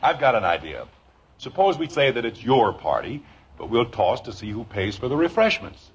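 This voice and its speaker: Middle-aged american sitcom dad, exasperated but loving, lively pitch, muffled hissy old radio. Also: male voice, moderate pace, breathy edge. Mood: calm, kindly. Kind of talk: 1950s radio sitcom acting.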